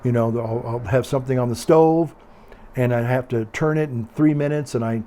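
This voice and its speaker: An older man with a calm, quiet voice, chatting casually in a meandering, hesitant way and often repeating himself. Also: medium pitch, normal speed, slight background noise. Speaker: video blogger. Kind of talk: casual rambling monologue.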